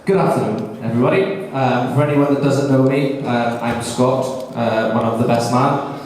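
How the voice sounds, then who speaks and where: A man's voice: medium pitch, moderate pace, darkish tone, slight background noise. A man in his thirties with a Welsh accent, giving a best man's speech at a wedding in a joking, self-deprecating way with many ums and uhs. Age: 30s. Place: large reception room at a wedding. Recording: echoey hall, voice from far off. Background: guests murmuring and laughing.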